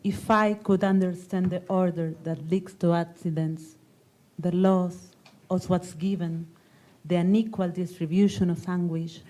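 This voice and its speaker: A woman's voice: lowish voice, dark tone, low-quality recording. A woman poet on a panel, speaking as an in prepared and conversational remarks. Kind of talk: panel talk with poem reading.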